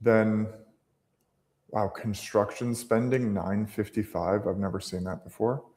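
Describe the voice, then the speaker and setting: A man's voice: on the low side, steady tone, measured pace, darkish tone, long reverb tail. A man hosting a live trading stream, talking casually and thinking aloud, with many fillers and restarts.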